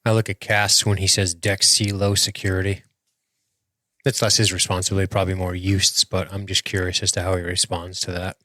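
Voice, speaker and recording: A man's voice: low-pitched, level tone, fairly quick, sharp tone, dry recording. A man in a tabletop game, casually narrating and explaining what his character does.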